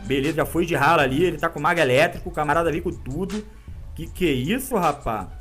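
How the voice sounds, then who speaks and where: The voice is high for a man: a gamer in his thirties commentates his match with excitable, slangy banter. He sounds stuffed up from a cold and sniffles now and then. Faint game music plays underneath in a small room. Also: fast. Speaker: gaming YouTuber. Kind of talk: live gameplay commentary. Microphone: webcam framing, headphones around neck.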